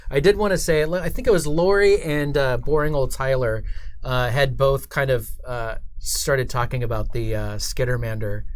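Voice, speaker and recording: A man's voice: mid-range voice, steady tone, moderate pace. A man speaks as the host of a livestream about games. He is informal and chatty, addressing viewers in a friendly, casual style with a mid-range voice.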